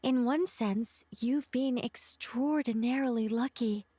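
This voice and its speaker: A woman voicing a calm, knowing and mysterious game character with a polite, deliberate delivery. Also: fairly high pitch, breathy edge, no room sound. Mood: musing. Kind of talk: video game voice acting, measured explanation.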